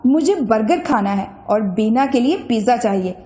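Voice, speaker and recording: Excitable, wishful young boy for a children's story, played by a woman; high pitch, roomy sound. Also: normal speed, close to an everyday voice. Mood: eager. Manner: eager, exclaiming, wishful. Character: excitable, hopeful young boy who finds magic. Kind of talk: children's moral story character voice. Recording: roomy echo.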